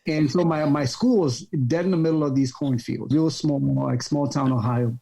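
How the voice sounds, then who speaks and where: A man from Cameroon, a guest on a podcast, tells his story in a reflective, halting way. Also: on the high side, creaky, mellow. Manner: reflective, halting, with many fillers and restarts. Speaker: guest, student advisor. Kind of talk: conversational storytelling.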